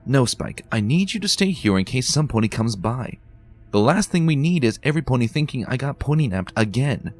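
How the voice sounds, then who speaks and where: A bookish young unicorn mare, earnest and a little anxious, done by a man in a raised voice. Her lines leap up high and swing widely as she insists. Soft music plays faintly under this fan storybook reading. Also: very animated, a little fast. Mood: insistent. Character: bookish determined young female unicorn pony.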